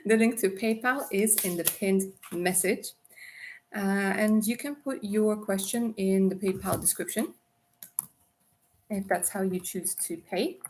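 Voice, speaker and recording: A chatty, affectionate woman gives a live tarot reading, her voice at an ordinary middle pitch. She talks to viewers warmly and casually, calling them darling. A faint hiss of noise sits under the stream. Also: takes it slowly. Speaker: tarot reader.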